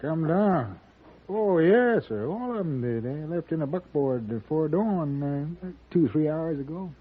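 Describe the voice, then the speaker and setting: An actor playing a folksy, hesitant frontier hotel clerk in a 1950s radio western, drawling in a raspy voice. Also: male voice, fairly high pitch, animated, measured pace. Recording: tinny old radio recording.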